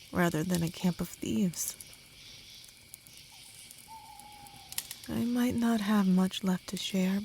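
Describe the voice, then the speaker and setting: A woman playing a kind, protective traveller in a gentle ASMR comfort roleplay, speaking softly with some whispering and reassuring slowly. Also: mid-range voice, a little hiss or hum, lightly acted. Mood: tender, protective. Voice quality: soft, partly whispered. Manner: gentle, slow, soothing, reassuring.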